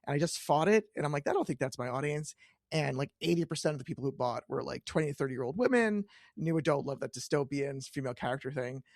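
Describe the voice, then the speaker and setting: Higher-pitched man with gravelly fry, close and dry. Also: fast, darkish tone, no echo. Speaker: podcast host, interviewer. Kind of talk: casual chat.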